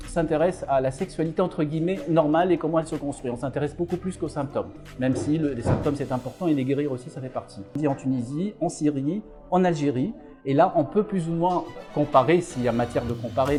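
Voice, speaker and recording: A middle-aged man from Algeria, a psychiatrist and author, answering interview questions with many fillers and restarts. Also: mid-range voice.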